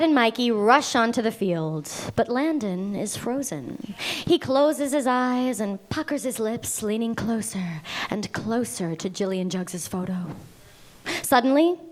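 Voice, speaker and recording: A woman reading script stage directions aloud at a live table read in a deliberate, measured way. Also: on the high side, lively intonation.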